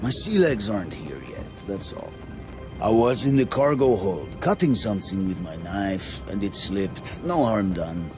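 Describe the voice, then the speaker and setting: An actor playing a defensive, prickly, tipsy ship's porter, gruff and indignant, in scripted game dialogue. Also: male voice, low-pitched, big pitch swings, a little slow, over music.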